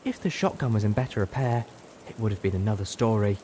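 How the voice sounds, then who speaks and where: A man's voice: fairly low pitch, fast talker, mellow, a little hiss or hum, lightly acted. A man voicing a polite, proper, composed female pony medic. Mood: reassuring, concerned.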